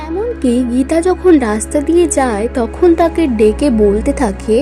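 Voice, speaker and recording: A woman's voice: sits high, lively intonation, normal speed, over music. A woman narrating a scripted cartoon story as its storyteller.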